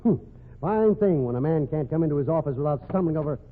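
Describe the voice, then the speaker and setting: A blustering middle-aged company president in a vintage radio mystery. His fairly high, husky voice leaps about with gruff impatience. The recording is muffled and lo-fi. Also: lively intonation, fast talker. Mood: impatient. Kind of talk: old-time radio mystery acting.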